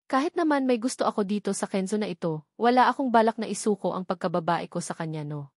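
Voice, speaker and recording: Female audiobook narrator, scripted first-person romance story, mid-pitched, very dry recording. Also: dry recording.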